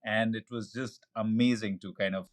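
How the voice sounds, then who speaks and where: A man with a fairly low voice hosts a podcast interview. He is effusive, heaps praise on his guest and asks questions eagerly in a conversational way. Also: steady tone, moderate pace. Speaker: podcast host.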